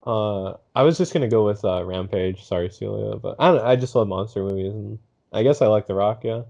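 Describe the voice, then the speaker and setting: A man with a lowish, dark voice and plenty of vocal fry chats casually on a podcast. He is understated and a little hesitant. The recording is dull and muffled. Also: a little fast. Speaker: podcast panelist. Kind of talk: casual chat.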